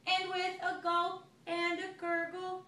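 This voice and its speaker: A woman's voice: very high-pitched, completely flat delivery, takes it slowly, pushed and bright. A woman teacher reading a picture book aloud to a child, telling it expressively and stopping to ask questions. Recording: echoey room, recorded from far off, noisy.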